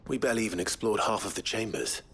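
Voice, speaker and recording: An actor playing a gruff, weary fantasy adventurer in a game cutscene, terse and dryly sardonic, with a husky voice. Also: male voice, expressive, quick pace, fairly bright tone, heavily acted. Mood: grim, dry. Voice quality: husky, gravelly low voice. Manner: terse, dry, understated. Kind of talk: video game cutscene acting.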